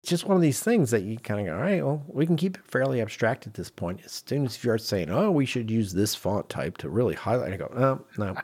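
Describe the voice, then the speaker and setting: A man's voice: mid-range voice, sing-song, a little fast, few pauses, darkish tone, slightly rough. A middle-aged man hosting a podcast in casual discussion, acting out little imagined quotes as he explains. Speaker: podcast host.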